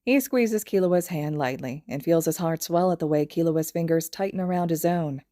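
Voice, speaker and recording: A woman narrates fiction as an audio story reader. Her pitch sits a little low. The recording is dry, with no room sound. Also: normal speed, dark tone, dry recording. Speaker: podfic reader.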